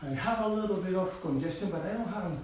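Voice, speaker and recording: A man's voice: high-pitched, quick pace, very echoey, distant mic, a little hiss or hum, poor audio. A man with a congested, blocked-nose voice, coaching a home garage workout and cheering viewers through their reps. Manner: energetic, encouraging, counting reps. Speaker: fitness coach. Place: garage gym.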